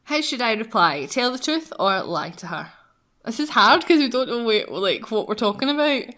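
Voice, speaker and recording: A woman's voice: on the high side, expressive, normal speed, fairly bright tone. A woman hosting a let's play, chatting casually and reading game text aloud in a chatty, giggly manner. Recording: clear background game noise.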